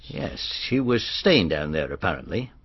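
An actor playing a deferential, matter-of-fact police inspector in a radio drama, plain-spoken and polite. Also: male voice, deep voice, very animated, a little slow, vocal fry, breathy edge, no echo, dull audio, heavily acted.

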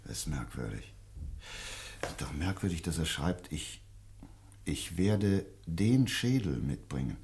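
An actor playing a courteous, inquisitive doctor in a German detective radio play, speaking in a deliberate, thoughtful way. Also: male voice, low voice, big pitch swings, gravelly, darkish tone, slight background noise, exaggerated voice. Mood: thoughtful, puzzled. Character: inquisitive, courteous male doctor investigating a mysterious disappearance.

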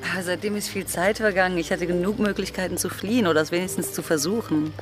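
An actress playing a tender, devoted female pirate weary of fighting, speaking gently and earnestly in a German radio drama. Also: fairly low pitch, fairly even intonation, fast talker, close to an everyday voice. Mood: pleading. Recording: studio radio play with prominent music.

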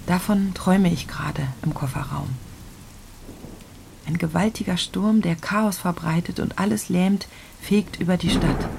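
A woman acting as a worn-out, stressed dreamer in an audio drama monologue, confiding in a creaky voice. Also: fairly low pitch. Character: stressed, worn-out woman dreamily narrating her escape fantasy. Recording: loud rain and thunderstorm noise. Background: rain and thunder.

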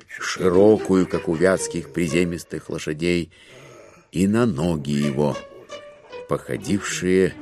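A man narrating a literary audio drama in an expressive, theatrical storytelling style. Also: sits low, gravelly.

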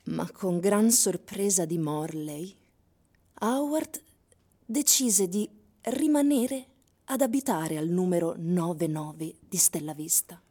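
An actress playing a solemn storyteller, narrating an eerie science-fiction radio drama with deliberate, suspenseful literary phrasing. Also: female voice, lowish voice, dark tone. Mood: subdued, grave.

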